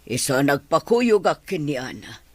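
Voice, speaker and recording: An actress playing an anxious middle-aged mother in a radio drama, with a husky voice and an Ilocano accent. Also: deep voice, big pitch swings, moderate pace, no room sound, exaggerated voice. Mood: polite.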